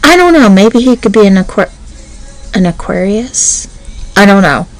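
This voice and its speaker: A woman's voice: mid-range voice, animated, moderate pace, soft background music. A woman voice actor and channel host chatting casually and nervously, rambling with many fillers before her audio roleplay.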